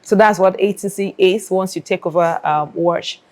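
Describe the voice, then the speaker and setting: A woman's voice: lowish voice, moderate pace, darkish tone. A Nigerian-accented woman, an air traffic controller, explaining her work in an interview and repeating phrases for emphasis.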